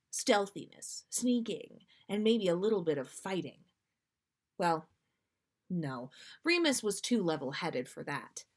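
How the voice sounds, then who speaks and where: A woman hosting a livestream, reading fan fiction aloud with expressive delivery. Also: on the low side. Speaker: podcast host reading listener fan fiction. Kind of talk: storytelling read aloud on a livestream.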